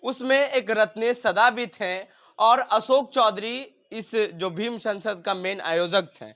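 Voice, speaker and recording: High-pitched man conducting a political interview. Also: slow, gravelly, bright, forceful tone. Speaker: interviewer, news reporter.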